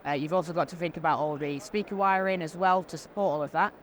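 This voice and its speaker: A woman's voice: sits low, quick pace. Informal, practical woman explaining products. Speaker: product specialist being interviewed. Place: trade show floor. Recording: faint background noise. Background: faint ambient noise.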